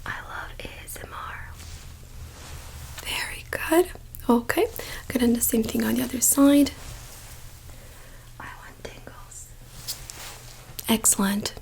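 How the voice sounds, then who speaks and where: Whispering high-pitched woman, ASMR doctor. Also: slow pace, pauses often. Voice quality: breathy whisper. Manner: gentle, reassuring, softly instructing. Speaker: ASMR performer playing a doctor. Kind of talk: soft-spoken ASMR medical exam roleplay.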